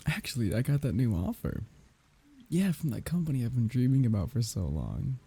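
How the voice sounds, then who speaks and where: A male actor plays a bereaved man saying goodbye to his lost love in an ASMR-style drama. His voice is very dark and soft-edged, heavily husky with a creaky grain. He speaks haltingly, tender and wry, on the low side. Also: very animated, full character voice. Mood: teasing, wistful. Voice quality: husky, gravelly. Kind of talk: intimate ASMR roleplay acting.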